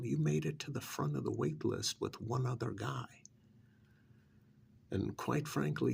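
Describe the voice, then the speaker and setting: Man playing a gravelly, unhurried smug vampire's familiar. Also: medium pitch, a little mellow, slight background noise. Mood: exasperated, persuasive. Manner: conversational, cajoling, with rhetorical questions and pauses. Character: smug pampered vampire's familiar working as recruiter, persuasive, dismissive. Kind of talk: ASMR role-play monologue.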